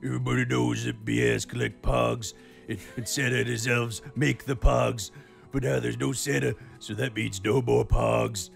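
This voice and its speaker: A man voicing a gruff, frantic bear man from a ruined future, stammering through an urgent comic plea. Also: medium pitch, level tone, moderate pace. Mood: pleading, rambling. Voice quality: gravelly. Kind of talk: comic in-character recorded plea. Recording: music under the voice.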